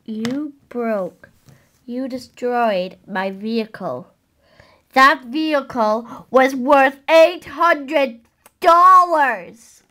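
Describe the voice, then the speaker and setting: Girl putting on a whiny awkward young guy, kinda hesitant, lower than her screams, comedy skit. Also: unhurried, a bit bright. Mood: indignant. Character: awkward, easily offended young boyfriend.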